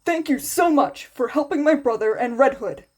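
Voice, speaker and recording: A woman's voice: high-pitched, animated, moderate pace, darkish tone, slight background noise. A woman voicing a polite teenage boy student in an anime story, speaking formally and with earnest emphasis. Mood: grateful.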